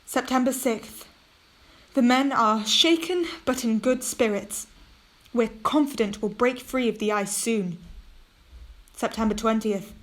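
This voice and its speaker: A woman's voice: a little high, measured pace, long pauses, lightly acted. A woman acting in a radio play as a resolute, commanding captain of an Arctic expedition ship. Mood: subdued, weary.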